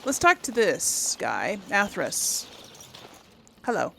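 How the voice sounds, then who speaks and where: A woman's voice: mid-range voice, huge pitch swings, slow, creaky, bright, forceful tone, a little hiss or hum. A woman hosting a casual let's play, chattily thinking aloud to her viewers. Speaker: gamer, series host.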